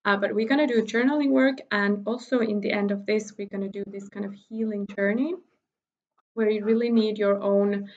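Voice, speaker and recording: A woman's voice: mid-range voice, fairly even intonation, moderate pace, darkish tone, muffled recording. A woman from Finland, a native Finnish speaker, leading a spiritual workshop in casual, chatty English with frequent laughs and filler words, recorded at home. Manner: chatty, laughs often, many fillers and restarts.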